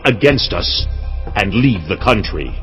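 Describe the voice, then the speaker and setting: A man's voice: fairly low pitch, animated, measured pace, a bit bright, faint music behind. A man playing a commanding, shrewd king of ancient Egypt in a dramatized audio Bible. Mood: wary, scheming.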